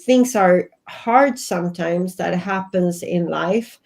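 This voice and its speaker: Slow-talking Swedish woman in her fifties. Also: on the low side, creaky, a little mellow. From Sweden. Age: 50s. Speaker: guest. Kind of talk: reflective interview talk. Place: home office. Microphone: video call.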